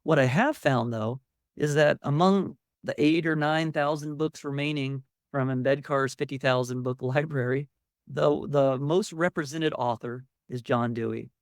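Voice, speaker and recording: A man's voice: mid-range voice, unhurried, no room sound. Man giving a conversational academic lecture, dry sound. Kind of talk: public academic lecture with slides.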